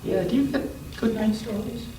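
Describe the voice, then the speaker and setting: A man's voice: very high voice, over a noisy crowd. A man reading a picture book aloud to children at a church story time, breaking off for asides and questions about the pictures. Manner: pauses to ask listeners questions about the pictures. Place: roomy church interior. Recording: echoey room, distant mic, background noise.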